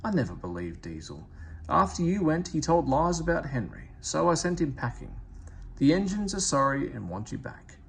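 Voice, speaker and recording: A man voicing a dignified, fair and kindly railway boss in a children's storybook, with a measured, authoritative manner. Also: fairly high pitch, big pitch swings, gravelly, slight background noise. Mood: reassuring.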